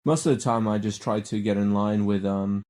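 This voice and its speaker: A man's voice: lowish voice, fairly even intonation, brisk, dark tone. A man from New Zealand co-hosting a podcast, talking casually and hesitantly with many ums. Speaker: podcast co-host. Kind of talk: casual chat.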